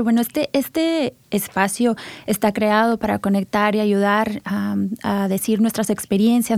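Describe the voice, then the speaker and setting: A woman co-hosting a radio business show, chatting in a relaxed way with frequent "este" fillers. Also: medium pitch, no room sound. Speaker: co-host. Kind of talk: casual radio chat.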